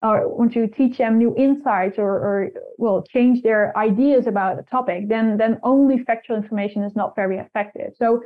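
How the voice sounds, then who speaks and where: A woman's voice: fairly high pitch, moderate pace, hardly any pauses. A woman whose first language is Dutch, a guest answering interview questions thoughtfully, with frequent restarts. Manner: thoughtful, many restarts and repeated words. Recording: tinny phone-like line.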